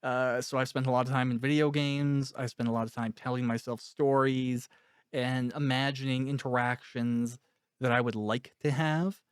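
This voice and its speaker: Male interviewer-author, mid-pitched smooth voice, dry sound, reflective and candid casual talk. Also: fairly even intonation, moderate pace, no echo. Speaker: author interviewing a cast member.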